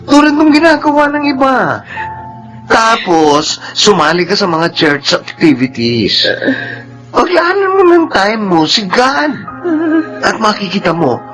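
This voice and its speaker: An actor playing a chatty, encouraging relative in a Filipino radio drama, emphatic and animated, with a Filipino accent. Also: male voice, sits high, measured pace, music in the background, poor audio. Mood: earnest, encouraging. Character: chatty, encouraging, devout relative and parlor assistant.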